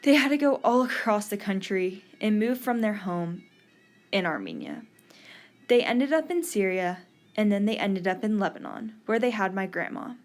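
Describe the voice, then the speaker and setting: A woman's voice: mid-range voice, expressive, normal speed. A young woman from Texas hosting a podcast, giving an earnest scripted narration. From Texas, USA. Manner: earnest, read-aloud delivery. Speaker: podcast host.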